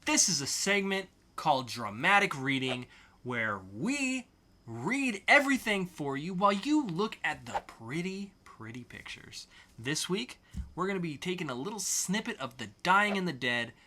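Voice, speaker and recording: Male segment host, casual and jokey, animated intonation, bright tone. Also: a little high, normal speed, a little hiss or hum. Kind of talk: casual, jokey web show presenting.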